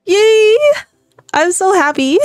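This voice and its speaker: A woman's voice: extremely high pitch, slow pace, no echo, clean studio sound, faint music behind. A young Filipino woman with a Tagalog background, chatting cheerfully as she plays a game and talks to her viewers, with frequent laughs. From Philippines. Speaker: gaming channel host. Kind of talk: let's play commentary.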